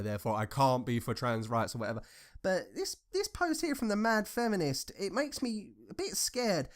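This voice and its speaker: Young southern English man, mid-pitched voice, casual online commentator. Sarcastic, informal, swears freely while ranting. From England. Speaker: commentator reacting to social media posts.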